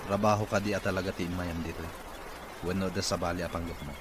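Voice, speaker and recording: An actor playing a curt, bitter, wealthy boss in a wheelchair, in Ilocano-accented radio drama, with a gruff, world-weary manner. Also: male voice, low voice, little pitch movement, fast talker, close to an everyday voice. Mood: curt, suspicious. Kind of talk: Filipino radio drama acting. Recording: noisy radio drama with background ambience.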